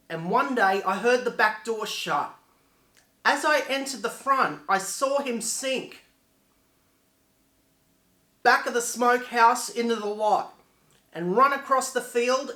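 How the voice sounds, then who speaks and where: A man playing a betrayed dead husband, telling his tale as a grave, deliberate poetic monologue. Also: extremely high pitch, sharp tone, exaggerated voice. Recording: echoey room.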